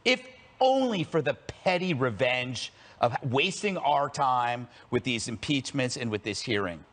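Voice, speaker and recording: A man's voice: medium pitch, animated, a little slow, fairly bright tone. An American man debating politics on a TV panel, with a wisecracking, argumentative way of talking. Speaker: panelist on a TV political talk show.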